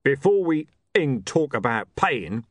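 Storybook heavy male reader: brutish, foul-mouthed enforcer, slow menacing delivery. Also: medium pitch, very animated, a bit bright, no room sound, studio quality, voice pushed up, heavily acted.

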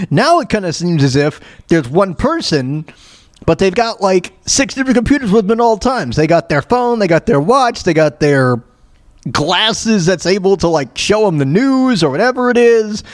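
Animated high-pitched man, rambling casually. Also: dry recording, studio quality. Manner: rambling, repeats phrases, chuckly. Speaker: podcast host. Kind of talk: casual chat, reacting to a video.

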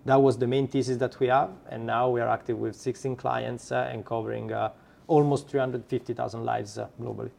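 A man with an Italian accent, a startup founder being interviewed, who talks haltingly with many fillers and restarts. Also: medium pitch, flat delivery, creaky, a little mellow. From Italy. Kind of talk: podcast interview.

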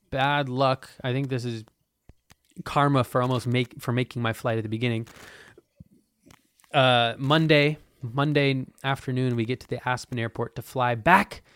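A man's voice: mid-range voice, fairly bright tone, smooth, no echo. A man in his twenties hosting a podcast, talking in a loose, profane, meandering way with lots of restarts. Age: 20s. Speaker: podcast host. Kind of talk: casual rambling monologue.

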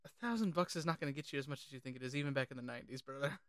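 A podcast co-host talking casually and informally about films, animated and sweary. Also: medium pitch, racing, no echo, clean studio sound. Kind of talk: casual film discussion.

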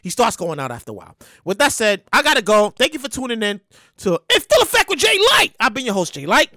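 A podcast host, talking casually and comically in an animated, slangy way with lots of repeated words. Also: mid-range voice, quick pace, no echo, studio quality. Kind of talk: casual comedic monologue.

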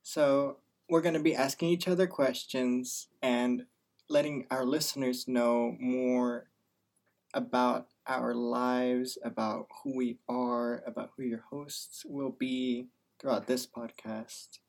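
A man's voice: medium pitch, little pitch movement, takes it slowly, a little mellow. Playful nineteen-year-old male podcaster. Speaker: podcast co-host, business student. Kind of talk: scripted intro, then casual chat.

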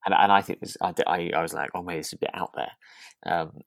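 A woman co-hosting a relaxed podcast chat, speaking in a tentative, conversational way. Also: rumbling low, brisk, creaky, no room sound, studio quality. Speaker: podcast co-host. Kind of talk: relaxed chat discussing a radio drama.

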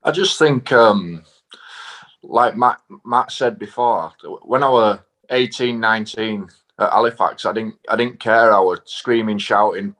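A young man with a low-pitched voice and a Northern English accent. He speaks as a footballer in an interview, informal and plain-spoken. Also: measured pace, fairly bright tone. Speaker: professional footballer, centre back, guest. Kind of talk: casual interview answers.

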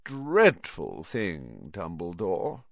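A man voicing an oily, condescending aristocratic villain in a storybook reading, with a smooth lazy drawl. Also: on the low side, huge pitch swings, unhurried, no room sound, dull audio, voice pushed up, exaggerated voice. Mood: lazy, mocking.

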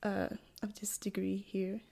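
A woman's voice: mid-range voice, completely flat delivery, measured pace, gravelly, mellow. A French woman in her twenties, speaking English with a French accent as a podcast guest, hesitant, with many fillers and restarts. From France. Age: 20s. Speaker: guest, English language student. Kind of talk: casual podcast conversation.

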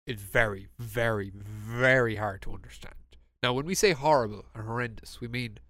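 A man's voice: mid-range voice, moderate pace, bright, forceful tone, dry recording. A young man with a west-of-Ireland accent, chatting on a podcast in a teasing way with playful, exaggerated reactions. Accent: west of Ireland Irish. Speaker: podcast co-host. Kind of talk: casual chat.

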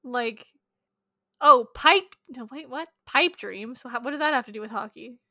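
A woman's voice: on the high side, moderate pace, bright, forceful tone, no echo, studio quality. A woman co-hosting a podcast in a casual, informal chat about books. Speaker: podcast co-host.

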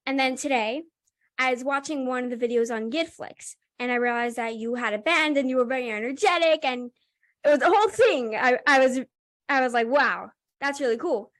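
Young woman, podcast host, high voice, upbeat, eager. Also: fairly quick, fairly bright tone. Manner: enthusiastic, upbeat. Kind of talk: casual interview hosting.

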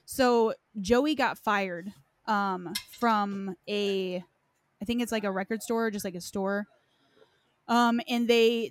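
A woman hosting a podcast, chatting informally and recounting lore in a conversational way. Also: medium pitch. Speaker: podcast host. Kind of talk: casual chat, recounting game lore.

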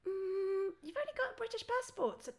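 A woman from Belgium chatting casually as a podcast guest, with an animated, joking manner. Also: very high-pitched, fairly quick. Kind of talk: casual conversational chat.

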